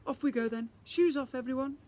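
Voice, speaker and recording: A man's voice: extremely high pitch, brisk, mellow, pitched above the performer's own voice. A man voicing a fussy, strict fairy teacher in a children's storybook, with emphatic, theatrical instructions. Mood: brisk, eager.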